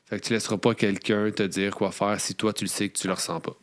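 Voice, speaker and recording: A man's voice: lowish voice, little pitch movement, quick pace. Informal Quebec French male podcast host. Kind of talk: casual chat.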